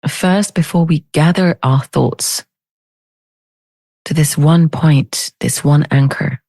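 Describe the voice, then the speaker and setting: Female meditation teacher, low mellow voice, very measured delivery, close dry sound. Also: husky, no echo. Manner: gentle, unhurried, with long pauses. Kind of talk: guided meditation.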